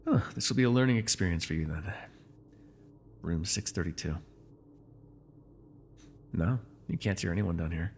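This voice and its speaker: A man playing a controlling, vengeful supervillain in an immersive ASMR roleplay, taunting and giving orders in a deliberate, mocking way. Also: sits low, animated, vocal fry, a little hiss or hum. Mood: controlled, commanding. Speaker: voice actor.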